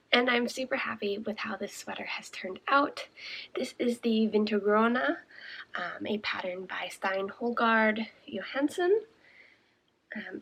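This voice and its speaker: A young woman hosting a video podcast, chatting casually with lots of ums, a bit raspy and congested. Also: medium pitch, low-quality recording. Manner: chatty, with many ums and uhs. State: congested, a little raspy from smoke. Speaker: podcast host and shepherd. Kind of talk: casual chat to camera.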